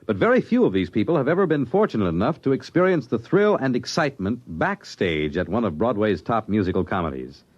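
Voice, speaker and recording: A man's voice: lowish voice, big pitch swings, a little fast, few pauses. An American man announcing in a polished, emphatic, theatrical 1950s radio style, on a dull old recording.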